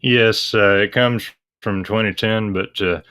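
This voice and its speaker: Deep-voiced man, bright dry close sound. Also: fairly even intonation, unhurried, no room sound. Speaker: interview guest. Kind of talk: casual interview chat about visual novels.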